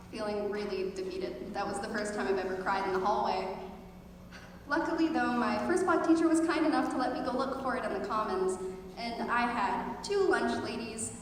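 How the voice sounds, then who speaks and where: Teenage girl, high voice, very echoey hall. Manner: dramatic, comically overstated. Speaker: high school theater student performer. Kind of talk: scripted personal storytelling monologue on stage. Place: large echoey indoor performance space. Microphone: distant camera microphone. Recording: very echoey hall, distant, faint noise.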